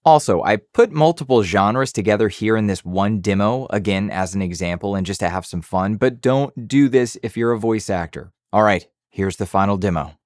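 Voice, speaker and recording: Man, low-pitched voice, upbeat tutorial host, direct explanations, dry close studio sound. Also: moderate pace, few pauses, dry recording. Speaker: audio educator and YouTuber. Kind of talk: casual tutorial talk to camera. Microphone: studio condenser mic on arm.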